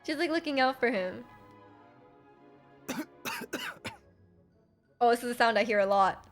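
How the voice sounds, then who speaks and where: A woman with a fairly high voice gives casual live commentary on a game. She is playful and musing, thinking aloud and joking, with game music in the background. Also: animated, measured pace. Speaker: game streamer.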